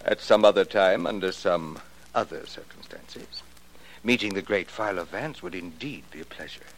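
An actor playing a smooth, guarded business manager in a 1940s radio drama, talking in a suave, evasive way. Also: male voice, sits low, normal speed, a little hiss or hum, low-quality recording. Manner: suave and guarded, feigning drowsiness.

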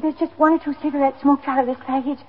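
Actress as anxious pleading woman, high voice, faltering manner, 1950s radio acting, narrow noisy sound. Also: level tone, quick pace, faint music behind, lightly acted. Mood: pleading, embarrassed. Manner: hesitant, apologetic, pleading. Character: anxious, embarrassed woman short of subway fare, proud.

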